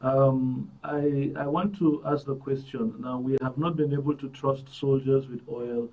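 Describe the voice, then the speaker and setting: A man hosting a current affairs interview, measured and formal, pausing as he frames questions. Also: medium pitch, flat delivery. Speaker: programme host. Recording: dull, slightly distant studio sound.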